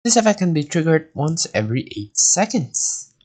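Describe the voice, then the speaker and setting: A man's voice: fairly high pitch, sing-song, normal speed, darkish tone. A man doing casual live gameplay commentary, rambling and thinking aloud. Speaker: game streamer.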